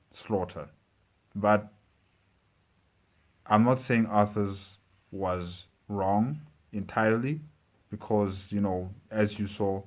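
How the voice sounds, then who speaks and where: A man giving casual reaction commentary as a show host, speaking haltingly and repeating phrases. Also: deep voice.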